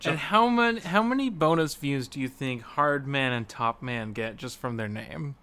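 A man's voice: mid-range voice, moderate pace, pushed and bright, clean tone. A man co-hosting a podcast, chatting casually about a video game. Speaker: podcast co-host.

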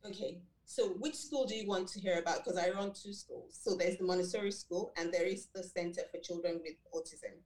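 A middle-aged Nigerian woman whose first language is Yoruba, answering interview questions in a measured way with many fillers and self-corrections. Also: fairly low pitch, darkish tone, reverberant, low-quality recording. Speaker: guest, school director and behavior analyst.

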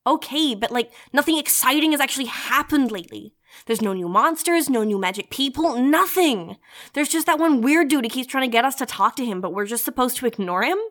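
A self-important young female superhero with a high voice, hosting her own show in an audio drama. She talks in melodramatic, mock-epic flourishes and drops into slangy, brash chatter. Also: expressive, fairly quick, a bit bright. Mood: whiny, impatient. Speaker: host of an in-story news show.

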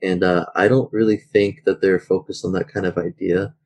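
A man with a very low, husky voice and a mellow tone, chatting on a podcast. He thinks out loud and pauses often as he works through his points. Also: steady tone. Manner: thoughtful, hesitant with fillers. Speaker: podcast co-host. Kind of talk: casual podcast chat.

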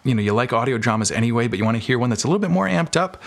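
A man's voice: medium pitch, lively intonation, very fast, vocal fry. A man speaking as an interview guest, chatting informally and candidly with rambling explanations. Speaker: podcast guest, filmmaker and audio drama creator. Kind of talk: casual interview chat.

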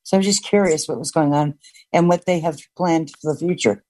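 A woman calling in to a discussion show, chatty and quick to laugh as she asks a question. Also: deep voice, warm tone. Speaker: caller. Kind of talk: call-in chat.

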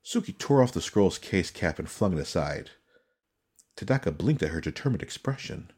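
A man reading dramatic fantasy narration as an audiobook narrator, solemn, measured and suspenseful. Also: low voice, sing-song, darkish tone, breathy edge.

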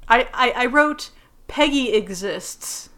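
A woman's voice: on the high side, expressive, slow pace, fairly bright tone. An American woman co-hosting a podcast, chatting casually about a TV episode with many fillers and frequent laughs. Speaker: podcast co-host. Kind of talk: casual chat recapping a TV episode.

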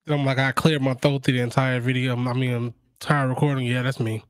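Male co-host, raspy mid-range voice, dry close sound, wry conversational banter. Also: medium pitch, flat delivery, brisk, no echo. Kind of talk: casual chat, joking storytelling.

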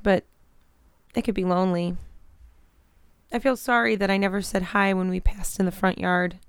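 A woman as a clear-voiced, earnest teenage girl. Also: mid-range voice, steady tone, moderate pace, no room sound, lightly acted. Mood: sincere, gentle. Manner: soft-hearted, sincere, polite. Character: gentle, sheltered, earnest, sickly teenage girl. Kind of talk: audio drama acting.

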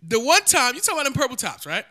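A man's voice: extremely high pitch, wildly swooping pitch, rapid-fire, bright, forceful tone. A man co-hosting a podcast, teasing and trash-talking in casual chat. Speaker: podcast co-host.

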